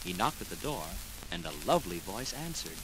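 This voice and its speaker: A man narrating a children's story dramatically, with clear enunciation, in a 1940s record style. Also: low-pitched, very animated, brisk, background noise. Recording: old 78 rpm record with music.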